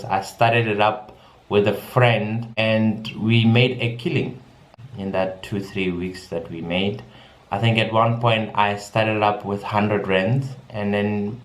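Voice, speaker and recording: A young man from South Africa chatting casually in a personal vlog, often repeating words as he goes. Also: on the low side. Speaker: vlogger. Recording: echoey room with music behind.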